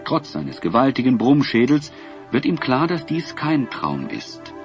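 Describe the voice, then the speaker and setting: Guy narrating a kids adventure story, measured storyteller, mid pitch, soft music under it. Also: sing-song. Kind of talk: scripted storybook narration for audio drama.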